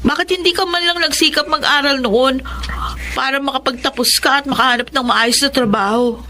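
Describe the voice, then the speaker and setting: A woman's voice: high-pitched, fairly quick, bright, forceful tone, a little hiss or hum. An actress with a Filipino accent playing an earnest, determined young provincial woman in a radio drama.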